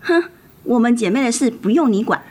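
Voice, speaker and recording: A woman's voice: fairly high pitch, animated, fairly quick. A woman acting a caring but sassy teenage elder sister in a radio drama, youthful, pert and protective. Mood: pouty, sassy. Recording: music under the voice.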